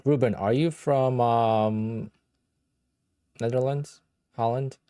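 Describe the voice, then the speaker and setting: A man's voice: medium pitch, fairly even intonation, slow, dark tone, clear, resonant tone, no room sound, clean studio sound. A man with a Polish accent, Polish his first language, hosting a casual livestream and answering viewer questions in a blunt, offhand way. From Poland. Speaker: livestream host.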